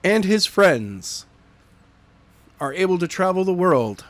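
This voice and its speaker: A man's voice: high-pitched, sing-song, slow, no echo. A man co-hosting a casual podcast, talking in a blunt, teasing way. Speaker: podcast co-host. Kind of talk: casual chat.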